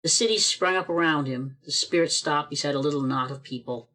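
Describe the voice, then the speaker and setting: A man's voice: a little high, a little fast. Man narrating a radio play. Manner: steady, storytelling. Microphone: home video call mic.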